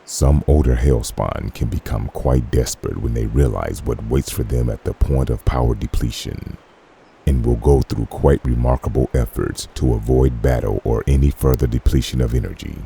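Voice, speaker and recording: A man performing a grave, clinical narrator who reads a demon-hunting manual in a measured, formal, gravelly voice, as scripted comic-dub narration. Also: very deep voice, dark tone, slight background noise. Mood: cold, instructive.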